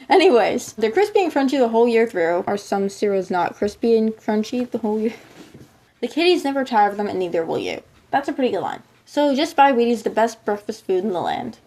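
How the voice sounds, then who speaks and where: A woman's voice: mid-range voice, mellow. A woman hosting a casual reaction video, chatting with wry asides as she reads from her sources. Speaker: video host.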